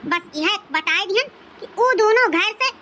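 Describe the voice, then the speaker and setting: A woman's voice: extremely high pitch, expressive, unhurried, bright, forceful tone, a little hiss or hum, full character voice. A woman voicing village in-laws in an Indian family cartoon, with a childlike, altered voice and an Awadhi accent. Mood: scolding, bossy. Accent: Awadhi, northern India. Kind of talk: rural family cartoon dialogue.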